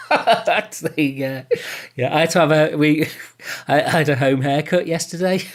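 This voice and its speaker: A man's voice: a little high, unhurried. Jokey, rambling British man. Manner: jokey, chuckling often. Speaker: podcast host. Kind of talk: casual chat. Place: remote online call.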